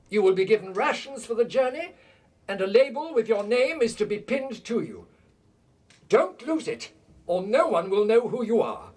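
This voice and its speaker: A man's voice: high voice, distant mic, full character voice. A man acting in a radio play as a friendly working man at a school and as a wartime headmaster.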